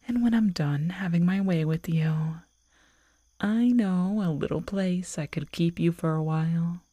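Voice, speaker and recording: Casting: actress as female werewolf lover for ASMR roleplay, very slow and breathy, possessive, teasing menace, whispering close up. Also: fairly low pitch, mellow, dry recording. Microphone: close microphone, dry.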